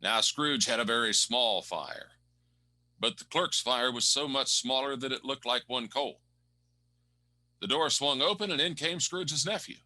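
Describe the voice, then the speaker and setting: Bright-voiced male storyteller. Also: mid-range voice, a little slow. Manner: vivid, relishing descriptive words. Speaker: narrator. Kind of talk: dramatic storytelling narration.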